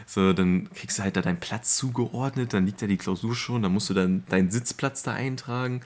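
A man's voice: lowish voice, a little fast. A young man hosting a podcast in casual, slangy, colloquial chat among friends. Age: young adult. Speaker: podcast host.

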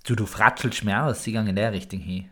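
A man's voice: lowish voice, fast talker, a bit bright. A man co-hosting a podcast in playful, colloquial, casual banter. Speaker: podcast co-host.

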